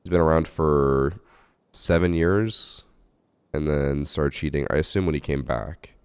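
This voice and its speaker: A hoarse man with a cough, casually analyzing gameplay clips as a gaming channel host. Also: rumbling low, a little slow, a little mellow, no echo, clean studio sound. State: hoarse from a cough, losing his voice. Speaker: gaming channel host reviewing submitted matches. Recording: game gunfire behind the voice.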